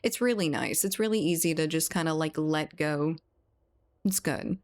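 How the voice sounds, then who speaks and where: Woman game streamer, slightly low voice, chatty and laughing, close desk mic. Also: moderate pace. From South Louisiana, US. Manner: chatty, laughs often, reads and answers chat. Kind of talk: casual live-stream chat while gaming.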